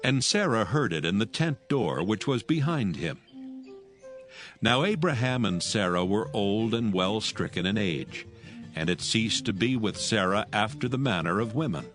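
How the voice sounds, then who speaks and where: A man narrating scripture from a script in a measured, solemn manner. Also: fairly low pitch, expressive. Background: soft music.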